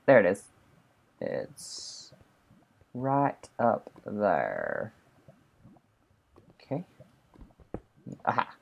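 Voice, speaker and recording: A voice doing casual commentary while playing a video game, chatty and excitable, talking both to itself and to the viewers. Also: medium pitch, gravelly, slightly rough. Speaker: gameplay commentator. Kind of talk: casual let's play commentary.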